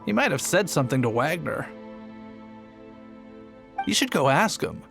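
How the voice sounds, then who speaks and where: A man's voice: fairly high pitch, very animated, unhurried, gravelly, heavily acted. A man playing an easygoing young city guard in a video game, chatty and thoughtful. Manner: chatty, thoughtful, laid-back. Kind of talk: scripted video game dialogue. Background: soft game music.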